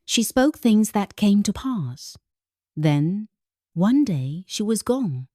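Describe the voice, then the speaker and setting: Woman voicing a grim, slow-spoken old village man. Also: medium pitch, sing-song, warm tone, no echo, clean studio sound. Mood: grave. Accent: Nigerian. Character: resentful elderly village man, stern and grim.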